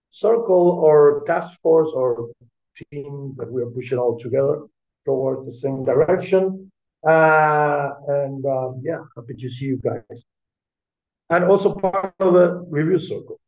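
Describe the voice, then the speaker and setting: Halting man on a phone-like line. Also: a little high. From Argentina. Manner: halting, hesitant delivery. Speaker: developer, meeting participant. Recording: very thin phone-like call audio.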